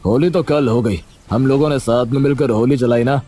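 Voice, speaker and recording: A man's voice: medium pitch, normal speed, mellow, slight background noise, close to an everyday voice. A man playing an arrogant, angry old village headman in a cartoon story, bossy and scolding. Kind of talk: Hindi cartoon story acting.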